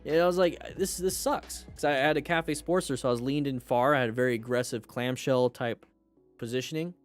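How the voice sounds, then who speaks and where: Male livestream host, mid-range voice, blunt and joking, animated casual chat with viewers, music underneath. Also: mid-range voice, a little fast, clean tone. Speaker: firefighter.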